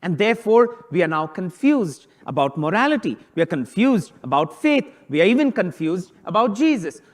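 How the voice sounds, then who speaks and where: Priest guy preaching, kinda high voice, keeps throwing questions at the congregation. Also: sing-song, normal speed. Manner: conversational preaching, rhetorical questions. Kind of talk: sermon on a Bible passage.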